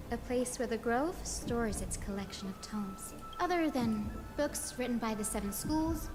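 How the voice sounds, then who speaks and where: A woman playing a young, knowledgeable, chatty assistant lecturer in a game, articulate and expressive as she explains things. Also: a little high, natural voice. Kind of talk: scripted game dialogue, explanatory storytelling. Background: background music.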